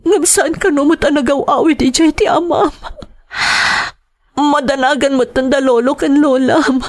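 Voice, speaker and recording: A woman's voice: high voice, steady tone, normal speed, lightly acted. A middle-aged Filipino woman acting in a radio drama as an anguished wife and mother whose husband is missing, tearful, sighing and pleading. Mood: despairing, tearful.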